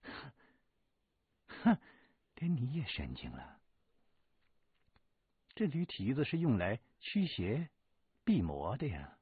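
A man's voice: rumbling low, very animated, a little slow, long pauses, slightly rough, dry recording, full character voice. A man voicing a clever, proud young woman explorer who snaps back indignantly. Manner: indignant, sharp retorts. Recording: tinny lo-fi recording.

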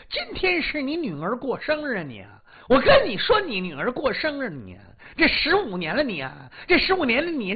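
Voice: mid-range voice, dull audio, voice pushed up. A storyteller voicing a furious wife who screams and scolds her drunk husband over and over. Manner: shouting, nagging repetition.

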